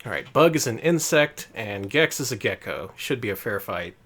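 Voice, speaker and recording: A woman's voice: very deep voice, animated, normal speed, a little hiss or hum. A woman co-hosting a retro game stream, giving dry joking commentary. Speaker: stream co-host. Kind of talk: casual game stream banter.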